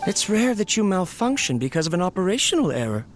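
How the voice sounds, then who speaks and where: A man playing a lonely, weary, gentle male researcher in an anime game, talking tenderly and wistfully. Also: high-pitched, sing-song, fast, faint music behind. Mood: fond, caring. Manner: soft-hearted, wistful, talks tenderly to his machine. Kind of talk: anime game voice acting, monologue.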